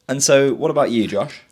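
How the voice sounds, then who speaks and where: A man from the UK hosting a podcast in casual chat, relaxed and quick to laugh. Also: mid-range voice. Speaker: podcast host.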